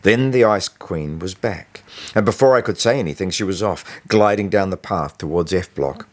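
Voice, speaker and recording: A man with a low-pitched voice reads his own story. He delivers it as an expressive audiobook narration, acting as storyteller. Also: normal speed. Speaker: author reading own novel.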